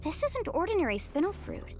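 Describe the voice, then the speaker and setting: Young female adventure guide for a game: high, animated, caring yet resolute, music behind. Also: fairly quick, heavily acted. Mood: pensive. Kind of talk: video game cutscene acting.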